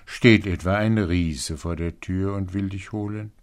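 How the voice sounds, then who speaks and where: A man's voice: low-pitched, steady tone, a little slow. A man voicing a fatherly, principled king in a fairy tale, speaking firmly. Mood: questioning.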